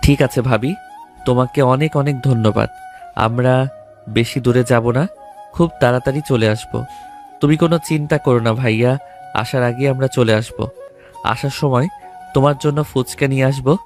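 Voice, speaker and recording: A man's voice: mid-range voice, steady tone, normal speed, long pauses, darkish tone, faint music behind, lightly acted. A man playing a coaxing young lover in an audio love story. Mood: grateful, reassuring.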